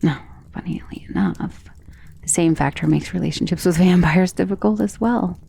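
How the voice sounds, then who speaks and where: A woman playing a centuries-old, tender and protective vampire in an intimate ASMR romance roleplay, speaking softly and earnestly, often in a whisper. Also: lowish voice, normal speed. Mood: wistful, hesitant. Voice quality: soft, breathy, often dropping to a whisper.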